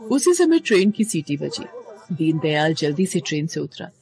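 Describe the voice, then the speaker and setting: A woman's voice: sits low, big pitch swings, normal speed, gravelly, a little mellow, soft background music. A woman narrator reading a scripted audio story.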